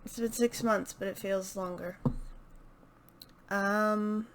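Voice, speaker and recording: Female vlog host, unscripted and unhurried, gravelly fry at phrase ends, thinks out loud, faint noise behind. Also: mid-range voice, fairly even intonation. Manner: hesitant, thinking aloud. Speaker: book video channel host. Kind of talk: casual unscripted book chat to camera.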